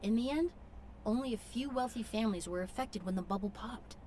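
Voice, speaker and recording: A woman's voice: fairly high pitch, fairly quick, darkish tone, a little hiss or hum, natural voice. A woman playing a polite, scholarly young perfumer in an anime video game, formal and composed as she explains. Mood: explanatory.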